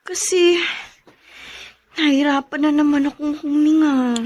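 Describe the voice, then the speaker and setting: A Filipino woman playing a meek, gentle young wife in a radio drama. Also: high voice, fairly even intonation, unhurried, dull audio. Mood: weak, forgiving. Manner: gentle, conciliatory.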